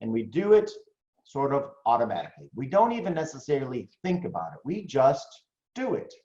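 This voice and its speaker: An American man giving a business coaching talk, conversational and animated, often checking in with "right?". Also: mid-range voice, brisk. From United States. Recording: roomy, slightly dull lo-fi audio.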